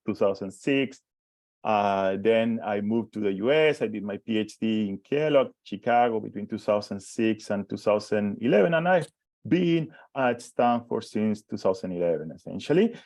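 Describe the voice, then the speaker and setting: Chilean man in his 40s, lowish voice, halting conference speaker, dry close sound. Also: a little mellow, no room sound. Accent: Chilean Spanish. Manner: hesitant, many restarts and fillers, self-described shy. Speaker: professor giving a conference talk. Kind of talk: conference presentation.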